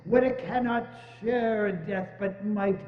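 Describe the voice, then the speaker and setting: Solemn male phantom, acted by a man, declamatory and unhurried, stage delivery, muffled with long hall reverb. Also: high-pitched, soft background music. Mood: solemn, doomful. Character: solemn, mournful male ghost of dead business partner. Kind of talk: dramatic stage reading.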